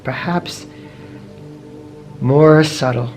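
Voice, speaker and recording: A man leading a guided meditation in a gentle, measured way, pausing often between short phrases. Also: on the high side. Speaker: meditation teacher. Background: soft meditation music.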